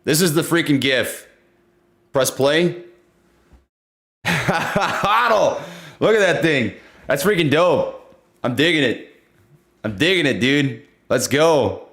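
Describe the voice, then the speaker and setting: A young man hosting a casual livestream, talking loudly and excitably while reading chat. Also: fairly high pitch, takes it slowly, long pauses. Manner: loud, excitable, says he always yells. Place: home room.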